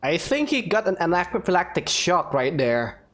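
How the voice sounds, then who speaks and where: Low-pitched woman giving lively game commentary. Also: normal speed. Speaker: gaming streamer. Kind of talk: casual gameplay commentary, reading some dialogue aloud.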